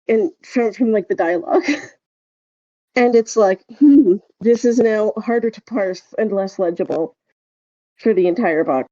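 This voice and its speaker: Woman, mellow, casual podcast chat, understated, hesitant, slightly dull dry recording. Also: medium pitch, dry recording. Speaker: podcast co-host.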